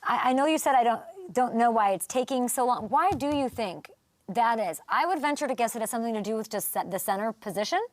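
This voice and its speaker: Woman sports host, chatty, teasing, highish voice, dry studio sound. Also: animated, fast talker, dry recording. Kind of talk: casual sports talk interview.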